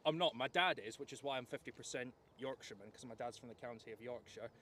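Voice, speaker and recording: A man's voice: medium pitch, fast, pushed and bright, a little hiss or hum. A young man from Edinburgh with a Scottish accent, chatting politely outdoors at an airport, talkative and a bit hesitant. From Scotland. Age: young adult. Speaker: visiting plane spotter. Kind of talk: casual chat.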